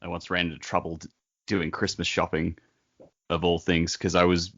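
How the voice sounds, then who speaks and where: Low-voiced man telling wry stories. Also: flat delivery, normal speed, fairly bright tone, dry recording, dull audio. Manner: wry storyteller, laughs at his own jokes. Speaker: podcast co-host. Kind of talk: casual chat and anecdotes.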